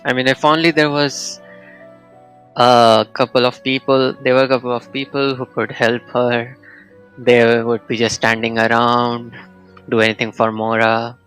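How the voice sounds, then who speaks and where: A man giving casual, halting gameplay commentary as a streamer, musing in broken phrases. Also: medium pitch, level tone, a bit bright, clean tone, faint music behind, low-quality recording. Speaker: gaming streamer.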